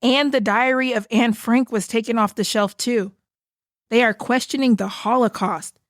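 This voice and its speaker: A woman's voice: a little high, fairly even intonation, normal speed, no room sound, clean studio sound, natural voice. A woman playing a frank, concerned book puppet in a theatrical puppet show, speaking in an earnest, plain way. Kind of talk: theatrical puppet musical acting.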